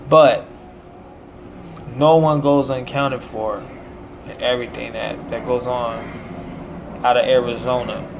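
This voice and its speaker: A man's voice: medium pitch, fairly even intonation, measured pace, gravelly, pauses often, noisy background. An American man delivering a rambling monologue in short, declamatory, preacher-like phrases. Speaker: self-promoting musician.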